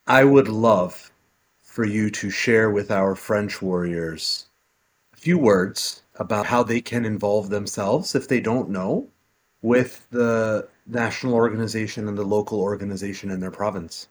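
Guy cohosting a podcast, kinda low voice, chatty and friendly. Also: measured pace. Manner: warm, admiring, conversational. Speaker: podcast co-host, doctor. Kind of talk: podcast interview.

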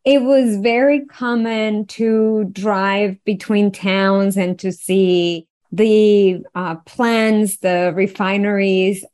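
A middle-aged woman from Venezuela with a Venezuelan accent, a podcast guest telling her story in an animated, playful way with frequent laughs. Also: medium pitch. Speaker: guest, wellness engineer. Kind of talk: conversational storytelling.

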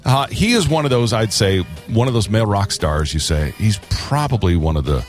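Male radio co-host, low voice, lively up-and-down delivery, casual banter, faint music underneath. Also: normal speed. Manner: lively, easygoing, chatty.

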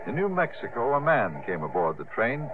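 An actor playing a gentle, patient suitor who narrates his own story in a 1950s radio drama, with a measured, wry manner. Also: mid-range voice, huge pitch swings, background noise. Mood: reflective narrating. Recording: tinny 1950s radio recording with music.

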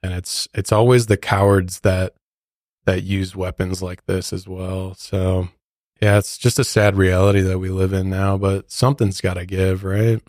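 A man's voice: low voice, flat delivery, measured pace, darkish tone, no echo, clean studio sound. A man co-hosting a podcast in casual, conversational talk. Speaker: podcast co-host.

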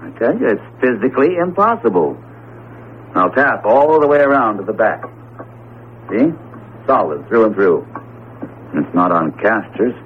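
A muffled 1970s radio drama recording with some hiss. a skeptical, jovial professor with a low voice, explaining things in a breezy, colloquial and self-assured way. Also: male voice, big pitch swings, unhurried, pauses often. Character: breezy, skeptical male psychology professor, hearty eater. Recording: muffled noisy old radio broadcast.

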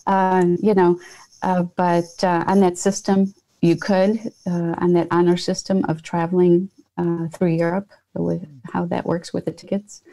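A woman's voice: lowish voice, flat delivery, measured pace, dark tone, low-quality recording. A woman in her fifties, from Poland, speaking earnestly as a guest entrepreneur in an interview, with many fillers and restarts. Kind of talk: conversational interview.

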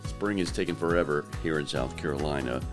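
A man hosting a podcast in casual, joking chat, his voice lowered by allergies. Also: brisk, music in the background. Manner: joking, teasing. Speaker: podcast host. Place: South Carolina.

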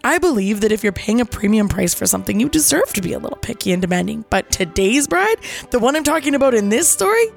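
Animated, raspy woman podcast host over music. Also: on the high side, fast talker. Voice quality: raspy from overuse. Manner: playful, dramatic, salty-tongued. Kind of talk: animated storytelling to listeners.

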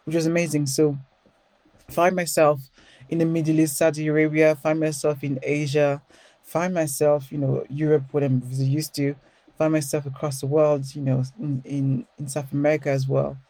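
A woman's voice: low voice, moderate pace, no echo. French-accented woman telling her story. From France. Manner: animated, laughs often, frequent restarts. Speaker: podcast guest. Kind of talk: casual storytelling interview.

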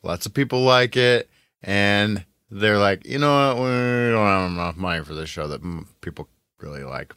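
A man's voice: sits low, normal speed, a bit bright, smooth, no echo, studio quality. A man co-hosting a podcast with casual banter and wry, sarcastic joking. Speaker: podcast co-host.

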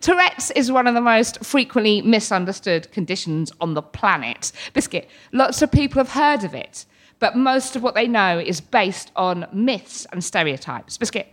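A woman's voice: mid-range voice, animated, moderate pace. A British woman in her thirties telling a comic story live, playfully, with frequent involuntary verbal tics breaking into her speech. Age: 30s. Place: live storytelling event with an audience.

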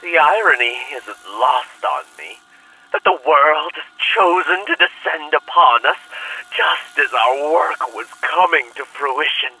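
An actor playing a fanatical cult leader in an audio drama, preaching theatrically and deliberately on a taped recording. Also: male voice, fairly high pitch, animated, bright, forceful tone, husky, full character voice. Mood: fervent. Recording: harsh taped recording, distant from mic.

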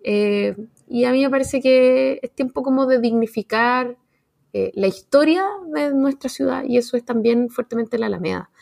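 A Chilean woman in her forties with a Chilean accent, chatting casually as a panelist. Her voice sits a little high and has a gravelly fry. She talks in an animated, slangy way, full of fillers and restarts. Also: moderate pace. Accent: Chilean Spanish. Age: 40s. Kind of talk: casual radio panel chat.

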